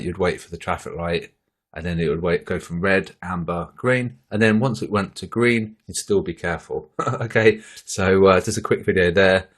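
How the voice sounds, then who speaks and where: Tired guy, really low deep voice, rambly relaxed chart talk with lots of uhs. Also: breathy edge. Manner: relaxed, rambling, frequent fillers. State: tired after a long bike ride. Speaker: trading channel host. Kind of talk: casual screen-share market commentary.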